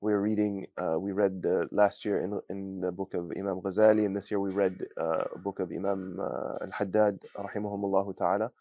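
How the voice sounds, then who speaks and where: A young man from giving a student testimonial, talking haltingly with many fillers and Arabic phrases. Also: sits low, level tone, no echo, dull audio. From United States. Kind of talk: casual spoken testimonial.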